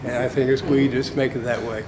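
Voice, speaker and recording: A man's voice: mid-range voice, fairly even intonation, fairly quick, background noise. Man in his seventies, echoey room. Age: 70s. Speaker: marketing consultant and photographer. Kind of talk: self-introduction at a meeting. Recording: echoey room with long reverb.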